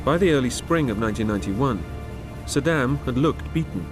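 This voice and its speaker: Male narrator for serious documentary, mid-range pitch, measured and grave scripted read, laid over music. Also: lively intonation. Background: music under the voice.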